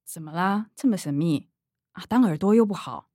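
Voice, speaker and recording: A woman's voice: lowish voice, expressive, normal speed, warm tone, dry recording, clean studio sound. Breezy, bustling Taiwanese mother. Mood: gossipy. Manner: bustling, exclaiming, teasing. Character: chatty middle-aged mother, breezy, joking, money-minded. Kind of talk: radio drama acting.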